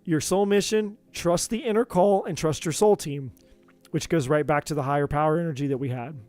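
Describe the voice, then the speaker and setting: A man doing a live tarot reading, talking in an earnest, encouraging way with many repeats, his voice going a bit hoarse. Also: fairly high pitch, fairly quick, darkish tone, dry recording, soft background music. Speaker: tarot reader.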